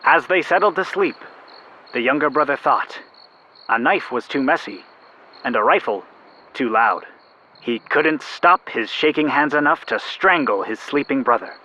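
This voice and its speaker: An earnest young radio narrator, anxious to keep the peace. His delivery is theatrical and very animated, with a sharp, bright edge suited to radio drama. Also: medium pitch, measured pace, poor audio. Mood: dramatic, narrating.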